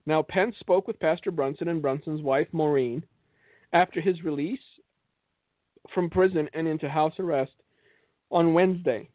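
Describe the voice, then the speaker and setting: A man hosting a religious broadcast, delivering political and religious commentary in a sardonic, rhetorical way with dramatic pauses. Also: fairly high pitch. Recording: thin phone-like band, echoey room.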